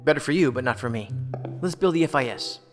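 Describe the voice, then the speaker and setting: A man's voice: medium pitch, lively intonation, fast talker, natural voice. A man plays a self-assured federal investigator in a sci-fi drama. His mid-range voice is wry and teasing. It rings in a reverberant space, with music faintly behind. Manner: playful, sardonic banter. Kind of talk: science fiction audio drama acting.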